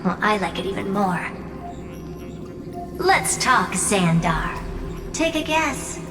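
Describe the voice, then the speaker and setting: An actress playing a confident, mischievous genius in a video game, smug and theatrical. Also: female voice, medium pitch, big pitch swings, slow pace, echoey room, long reverb tail, distant mic, over music. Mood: smug, gleeful. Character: confident, mischievous female genius relishing a contest.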